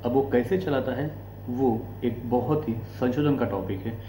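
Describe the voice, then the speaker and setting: An Indian man hosts an explanatory commentary in a mellow, relaxed voice at a middle pitch. He speaks deliberately, in short broken phrases. The room is echoey, with faint noise and hum behind him. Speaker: host of a commentary channel. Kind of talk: explanatory political commentary to camera.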